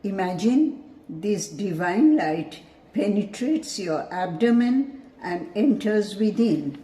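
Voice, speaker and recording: A woman leading a scripted guided meditation in a measured, soothing, instructive manner. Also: medium pitch, lively intonation, mellow.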